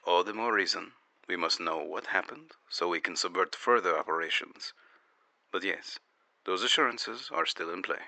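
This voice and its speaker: Man acting a quiet, stern interrogating agent. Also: low voice, normal speed, exaggerated voice. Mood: formal, firm. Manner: terse, quiet, probing. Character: stern, controlled secret agent interrogating a witness. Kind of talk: dramatic audio fiction interview.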